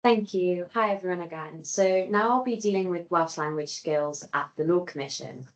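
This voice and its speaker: A woman presenting in a webinar with a clear, scripted delivery. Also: lowish voice, normal speed, poor audio.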